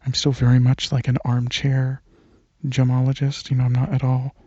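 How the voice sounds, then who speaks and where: A young man rambling softly in a casual ASMR chat, hesitant and drifting toward a near-whisper, close to the microphone in a slightly lo-fi recording. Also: medium pitch, monotone, normal speed, slightly rough, no echo. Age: young adult. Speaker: ASMR channel creator.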